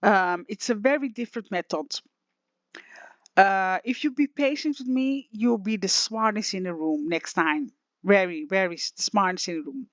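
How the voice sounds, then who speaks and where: A woman teaching music software in a casual online tutorial, rambling and emotional with many restarts, her voice slightly raspy. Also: medium pitch, normal speed, dry recording. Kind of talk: casual screen-share tutorial. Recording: dull lo-fi dry sound.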